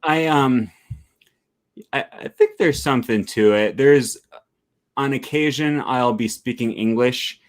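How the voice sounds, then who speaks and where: A man hosting a casual interview over a video call, relaxed and hesitant with frequent ums. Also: mid-range voice, slow pace. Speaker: interviewer host.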